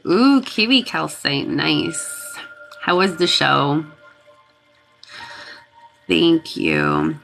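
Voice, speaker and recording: A woman is hosting a live healing stream while getting over a cold, her voice congested and sniffly. She talks slowly and sits a little low in pitch, greeting viewers one by one and laughing now and then. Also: wildly swooping pitch, creaky, fairly bright tone, soft background music, low-quality recording. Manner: chatty, thanks viewers by name, laughs often. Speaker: reiki healer hosting a livestream.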